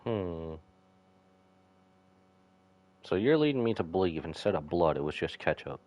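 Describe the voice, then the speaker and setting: A man's voice: low-pitched, lively intonation, unhurried, a little mellow, muffled recording, a little hiss or hum. A man live-streaming a game, reading its text aloud and dropping in his own asides.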